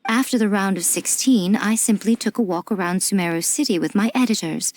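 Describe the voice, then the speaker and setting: A woman voicing a poised, worldly shrine lady in a game cutscene, composed, wry and gently teasing. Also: mid-range voice, fairly quick, no room sound, close to an everyday voice. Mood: wry, weary. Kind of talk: animated game cutscene acting.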